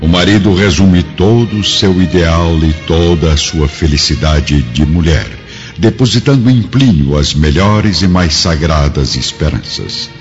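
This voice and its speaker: A man's voice: low voice, expressive, measured pace. A man narrating a radio drama from a script in a dramatic storytelling style. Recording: dull lo-fi audio with music behind.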